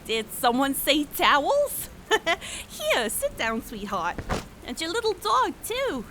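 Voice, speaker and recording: A woman's voice: sits high, wildly swooping pitch, takes it slowly, a bit bright, a little hiss or hum, exaggerated voice, poor audio. A woman voicing a doting, teasing big-sister wine goddess in a fantasy audio drama, with a sing-song, coddling manner. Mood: doting, cheerful.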